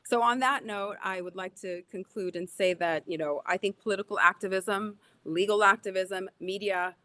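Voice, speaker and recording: A woman's voice: mid-range voice, bright, forceful tone, no room sound. Woman activist, earnest and firm, webinar panel voice. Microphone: video call.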